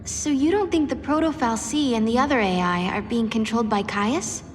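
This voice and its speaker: A woman's voice: a little high, normal speed, slight background noise, close to an everyday voice. A woman playing an earnest, hopeful young heroine in a video game. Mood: pensive. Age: young adult. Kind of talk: video game cutscene acting. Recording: reverberant game audio with music.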